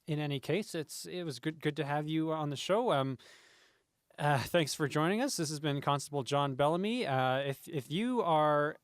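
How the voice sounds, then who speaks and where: A man playing a polite, folksy radio show host who talks in a halting, mildly bewildered way. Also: a little high, smooth, no room sound, studio quality, lightly acted. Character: folksy adult male late-night radio show host. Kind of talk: comedic radio show hosting.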